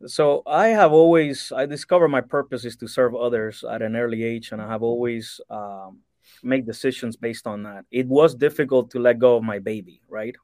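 A man's voice: medium pitch, normal speed, darkish tone, no echo. Earnest man from Cuba, dry close sound. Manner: earnest, many fillers and restarts. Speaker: guest, real estate broker. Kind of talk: podcast interview.

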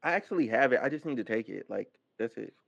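A man hosting a casual podcast discussion, circling back haltingly to explain his point through dull audio. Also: mid-range voice, lively intonation, gravelly, a little hiss or hum. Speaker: podcast lead host.

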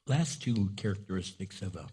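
A male pastor whose first language was Spanish, preaching a sermon in a storytelling way that jumps into loud, high bursts. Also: lively intonation, moderate pace, breathy edge.

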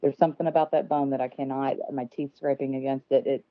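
A woman's voice: a bass voice, level tone, quick pace, dry recording. A woman who is a guest on a casual podcast, speaking in a nervous, self-deprecating way with many fillers, heard over a remote call line. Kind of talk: casual interview conversation. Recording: dry, phone-like remote call audio.

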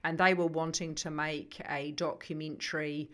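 A woman's voice: deep voice, little pitch movement, moderate pace. A middle-aged woman with a New Zealand accent, a psychotherapist talking as a podcast guest, thoughtful and searching for her words. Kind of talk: conversational podcast interview.

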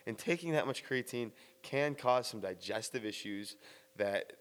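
A man explaining a supplement in a casual, blunt vlog monologue, swearing now and then, with a slightly raspy early-morning voice. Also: medium pitch, lively intonation, moderate pace, a bit bright, in a large hall. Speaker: fitness vlogger.

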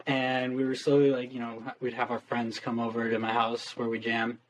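A twenty-year-old man tells a story haltingly and starts his sentences over and over. His voice is at an ordinary pitch. A faint hiss sits behind him. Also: monotone, muffled recording. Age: young adult. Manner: halting, restarts often. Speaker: guest musician. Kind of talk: casual interview chat.

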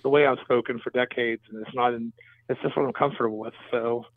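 A man's voice: mid-range voice, steady tone, quick pace, dry recording. A man with a Mid-Atlantic accent, a guest on a podcast, talking in a rambling way and correcting himself as he goes. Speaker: guest, event organizer. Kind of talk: casual podcast interview. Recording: dry tinny phone-line sound.

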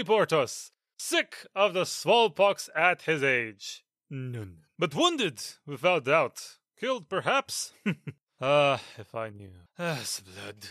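An actor playing a stern older captain of musketeers, scolding his men with ironic anger and sudden shouts. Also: on the high side, no room sound, clean studio sound, heavily acted. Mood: sarcastic fury. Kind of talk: dramatized audiobook acting.

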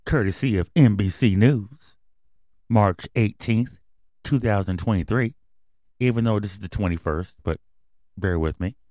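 Low-voiced man hosting a podcast, dry sound. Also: measured pace, long pauses, dark tone, no room sound. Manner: loose, blunt and conversational, with a grand on-air persona. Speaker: podcast host. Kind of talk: casual podcast news talk.

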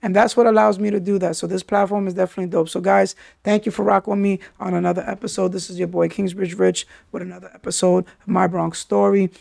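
Gravelly New man telling his story. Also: sits high, little pitch movement, fast, few pauses, darkish tone, no room sound, clean studio sound. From New York City. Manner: street slang, frequent "yo" and "you understand?". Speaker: podcast host. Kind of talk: candid personal storytelling.

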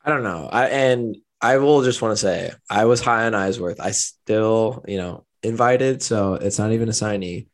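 A young man co-hosting a casual sports podcast, his voice raspy and tired, easygoing in manner. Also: fairly low pitch, moderate pace, few pauses. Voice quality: slightly raspy. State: losing his voice. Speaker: podcast co-host.

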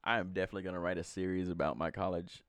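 A man's voice: low voice, flat delivery, racing, clear, resonant tone, no room sound. A man in his twenties from Alabama hosting a casual chat, rambling with lots of fillers and frequent laughter. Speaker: podcast host.

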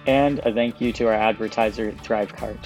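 A man co-hosting a podcast, reading sponsor thanks in a steady, scripted way. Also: fairly low pitch, brisk, faint music behind. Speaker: podcast co-host. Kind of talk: sponsor reads.

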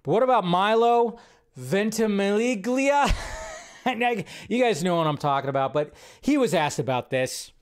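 A man with a slightly high voice hosts a casual live entertainment show. He rambles conversationally and thinks out loud, circling back over his own phrases as he goes. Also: expressive, normal speed, smooth.

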